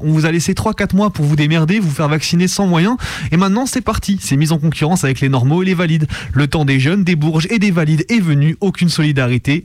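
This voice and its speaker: A man's voice: a little high, darkish tone, no room sound. A man co-hosting a radio show, speaking in an outspoken, slangy, colloquial way. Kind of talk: opinion commentary and casual radio chat. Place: dry radio studio.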